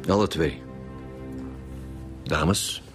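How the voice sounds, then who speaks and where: Flemish police detective, lowish voice, stern n sardonic, radio drama w music behind. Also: male voice, extremely slow, exaggerated voice. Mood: stern, probing. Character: male lead homicide detective, stern and wry.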